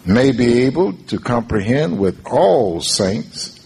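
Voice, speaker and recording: A man reading a scripture passage aloud in a measured, drawn-out way. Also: mid-range voice, very animated, vocal fry, darkish tone, husky. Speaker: scripture reader.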